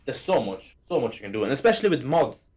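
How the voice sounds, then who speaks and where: A man's voice: medium pitch, sing-song, fast, darkish tone, husky. A man from Hong Kong co-hosting a casual gaming podcast, joking and playful. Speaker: podcast co-host.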